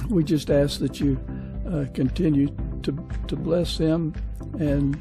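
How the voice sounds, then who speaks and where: A man's voice: on the high side, steady tone, slow pace, over music. A man speaking as the host of an interview podcast. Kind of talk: casual interview hosting.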